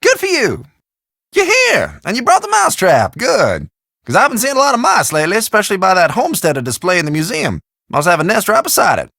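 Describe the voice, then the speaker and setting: A chatty small-town shopkeeper from a video game. He has a homespun American country drawl and a sharp, bright tone. His pitch leaps around in a very animated way. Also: vocal fry, full character voice. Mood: pleased. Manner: folksy, drawn-out country talk. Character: folksy, chatty small-town male storekeeper fussing about mice. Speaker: storekeeper character. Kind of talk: video game character dialogue.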